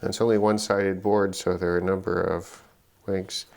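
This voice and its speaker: A middle-aged man giving unscripted commentary on an electronics teardown, halting and musing as he thinks aloud. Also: low voice, level tone, creaky, darkish tone. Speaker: electronics hobbyist presenter.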